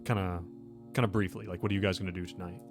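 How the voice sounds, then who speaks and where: A man's voice: low-pitched, fairly even intonation, rapid-fire, over music. A man improvising narration as the game master of a tabletop roleplaying game.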